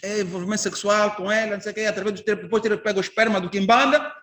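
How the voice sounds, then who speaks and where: Angolan man, high-pitched judge-trainer, conversational lecture full of rhetorical questions. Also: steady tone, rapid-fire, a bit bright. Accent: Angolan Portuguese. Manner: conversational, anecdotal, asks the class rhetorical questions. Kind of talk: informal lecture to trainee magistrates.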